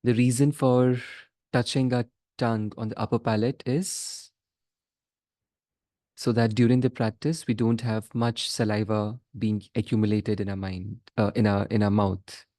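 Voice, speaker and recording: A man leading a guided meditation as a teacher, giving measured instructions in short phrases with pauses between them. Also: lowish voice, flat delivery, dark tone, no room sound, studio quality.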